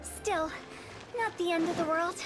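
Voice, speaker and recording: A woman's voice: very high voice, fairly even intonation, a little slow, loud music behind the voice. A woman voicing a playful young funeral director with a mischievous, cheerfully morbid way of talking. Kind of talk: game voice-over.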